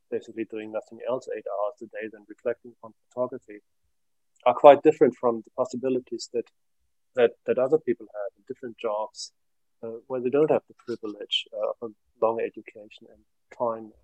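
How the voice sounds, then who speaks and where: A middle-aged man from Germany speaks as an academic guest, thinking aloud in long, careful answers. His pitch sits at an ordinary middle level for a man. The sound is dry and close, with no room around it. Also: darkish tone, no echo, rough recording. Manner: measured, reflective, trails off at phrase ends. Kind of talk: thoughtful academic interview answers.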